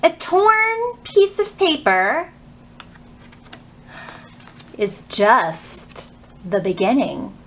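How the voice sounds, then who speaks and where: A woman's voice: high voice, wildly swooping pitch, very slow, pauses often, a bit bright. A woman presenting a children's storytime, explaining things simply, asking young listeners questions and reading aloud.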